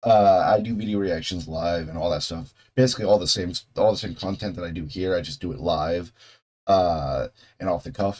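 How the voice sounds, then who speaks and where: A young man hosting a reaction video, talking casually with a voice still hoarse from a cold, rambling, swearing and restarting his sentences. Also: deep voice. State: hoarse, getting over a cold. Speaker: host of a video reaction channel. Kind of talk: casual off-the-cuff chat.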